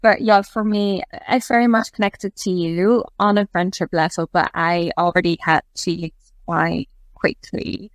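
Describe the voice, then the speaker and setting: A woman's voice: fairly low pitch, fairly even intonation, measured pace, no room sound. Woman from the netherlands, 30s, easygoing guest chat, dry close mic. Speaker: podcast guest. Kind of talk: casual interview chat.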